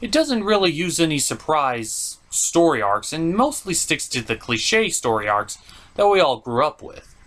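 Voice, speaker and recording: Male reviewer, fairly high voice, swooping animated pitch, emphatic and mock-exasperated, scripted commentary with wry asides. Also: unhurried. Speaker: reviewer hosting an anime review show.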